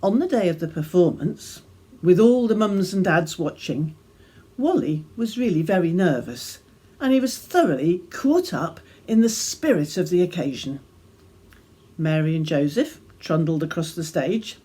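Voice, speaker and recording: A woman reading a devotional reflection as gentle, measured storytelling with deliberate pauses. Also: fairly low pitch, expressive, breathy edge. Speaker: reader of her own devotional reflection. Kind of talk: scripted devotional reflection, storytelling read aloud.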